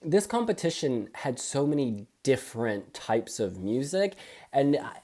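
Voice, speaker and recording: A woman with a strikingly deep voice co-hosts a relaxed review chat. Her talk is chatty and opinionated, and her pitch rises and falls a great deal. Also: measured pace. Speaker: co-host.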